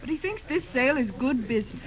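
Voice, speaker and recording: A woman's voice: sits high, lively intonation, fast. An actress playing an earnest, worried young woman who works at a country railroad depot in a 1930s radio drama. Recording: dull old radio recording, faint hiss.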